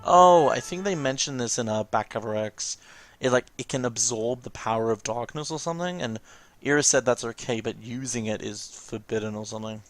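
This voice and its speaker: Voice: mid-range voice, brisk. A let's play host giving casual, informal commentary while reading through video game cutscenes.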